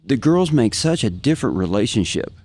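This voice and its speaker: A man's voice: mid-range voice, sing-song, a little fast, a little mellow, husky, no echo. A man from Kansas with an American accent, chatting casually and enthusiastically as a guest and reminiscing, often repeating phrases for emphasis. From Kansas, United States. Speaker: guest, professional guitarist. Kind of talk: casual podcast chat, reminiscing.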